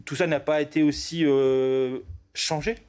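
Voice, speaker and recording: A man's voice: fairly high pitch, steady tone, measured pace, a little mellow, smooth. A man from northern France, a police union delegate, answering viewer questions in a studio debate with many fillers and restarts.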